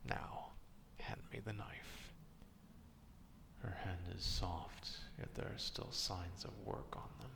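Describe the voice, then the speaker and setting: A man acting out a gruff, proud, distrustful werewolf in an ASMR roleplay, stern and curt but grudgingly caring, in a gravelly half-whisper. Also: sits low, fairly even intonation, slow, heavily acted. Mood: gruff, instructing, then musing.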